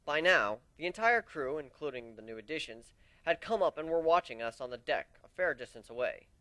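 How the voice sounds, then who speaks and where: A man's voice: on the high side, animated, brisk, bright, forceful tone, no echo. A man narrating a first-person fantasy story as an amateur dramatic reading.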